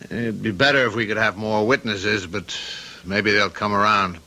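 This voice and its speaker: An actor playing a steady, plain-spoken male police sergeant, measured and matter-of-fact, in a radio drama. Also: on the low side, poor audio. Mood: earnest, matter-of-fact. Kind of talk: old-time radio drama acting.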